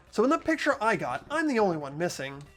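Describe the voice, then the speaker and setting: The host of a friends' game playthrough, voicing the protagonist with steady deductive reading and laughing between lines. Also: mid-range voice. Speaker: host and lead voice actor of a playthrough. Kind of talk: narrating and voicing a game protagonist, casual chat.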